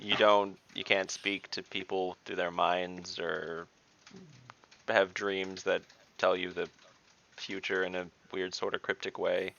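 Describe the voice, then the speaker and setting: Male tabletop player, bright forceful edge, wry casual delivery, slightly muffled noisy mic. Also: low-pitched, little pitch movement, slow pace, vocal fry. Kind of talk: casual online game chat. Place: online group voice call.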